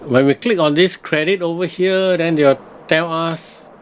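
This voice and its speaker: Guy with a slightly high voice walking thru software, casual, kinda thinking out loud. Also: fairly even intonation, a little slow, slight background noise. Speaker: software reviewer, host. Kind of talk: casual screen-recorded tutorial commentary.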